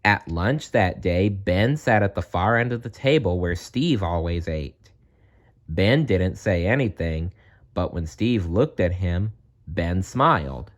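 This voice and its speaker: A man's voice: deep voice, animated, slow pace. A man reading a children's story aloud as a storyteller.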